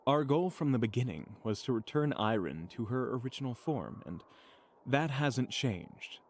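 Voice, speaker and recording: A man playing a solemn, resolute companion on a fantasy quest, speaking formally and deliberately in an audio drama. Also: medium pitch, lively intonation.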